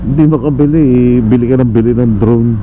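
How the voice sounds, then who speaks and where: A man's voice: mid-range voice, a little fast, background noise. A man with a Filipino accent chatting casually and teasingly as a motorcycle vlogger on a helmet intercom mic. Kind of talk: casual bantering chat while testing vlogging setup. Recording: muffled helmet intercom, loud music, vehicle sounds.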